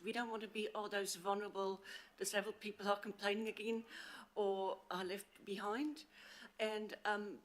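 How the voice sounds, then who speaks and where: A woman with an accent from outside the city presents to a council committee on a handheld mic, earnest and halting with frequent fillers. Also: fairly high pitch. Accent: non-local accent. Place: council meeting chamber. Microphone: handheld microphone.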